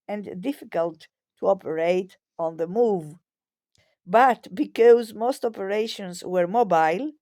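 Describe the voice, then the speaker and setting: A woman reading scripted history narration in a measured, formal manner. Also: medium pitch, warm tone, dry recording, studio quality.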